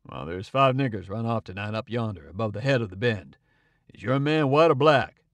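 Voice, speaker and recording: A man voicing a gruff older boatman with a drawl. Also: mid-range voice, fairly quick, no room sound, pitched below the performer's own voice, close to an everyday voice. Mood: suspicious.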